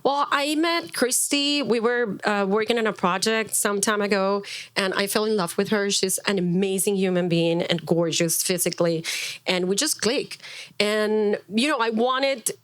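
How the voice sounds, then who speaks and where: A middle-aged woman, a native Spanish speaker, introducing herself to a group as a wellness coach in a chatty, animated, self-deprecating way. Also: mid-range voice, moderate pace, dry recording. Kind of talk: informal spoken introduction before a live group.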